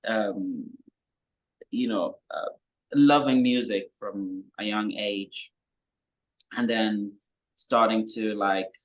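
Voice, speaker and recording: A young man from Kenya, a musician guest, talking in a relaxed, rambling way with many fillers. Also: on the low side, slow, long pauses, poor audio. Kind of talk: casual interview chat.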